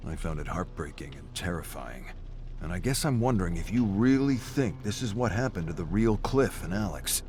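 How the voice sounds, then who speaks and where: A man's voice: low-pitched, lively intonation, normal speed, darkish tone, faint music behind, voice pushed down. Man playing a subdued, sincere male listener. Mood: moved, curious. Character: moved, curious male listener, gently supportive.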